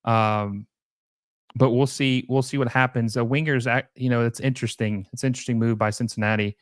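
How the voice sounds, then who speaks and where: A young man chats casually on his live stream, drifting through thoughts with frequent fillers and false starts. His voice is smooth and resonant. The sound is dry and close, with no room around it. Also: medium pitch, steady tone, brisk, dry recording. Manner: rambling, many fillers and restarts. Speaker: livestream host.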